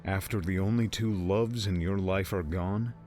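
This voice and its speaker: A man's voice: deep voice, steady tone, moderate pace, a little mellow, faint music behind, lightly acted. An actor playing a smug, menacing older man who leads a mob, taunting and condescending, in an audiobook drama. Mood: cold threat. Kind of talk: audiobook drama villain acting.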